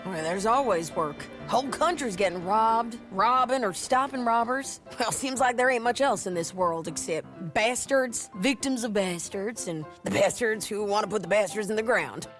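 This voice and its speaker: An actress playing a hardened, wry frontier bounty hunter in a western video game, drawling with an American Southern accent. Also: female voice, mid-range voice, moderate pace, hardly any pauses, a bit bright, soft background music, natural voice.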